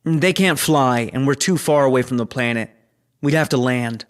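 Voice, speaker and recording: An actor playing a skeptical, businesslike space station operator in a sci-fi audio drama. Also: male voice, medium pitch, moderate pace, lightly acted. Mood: dismissive.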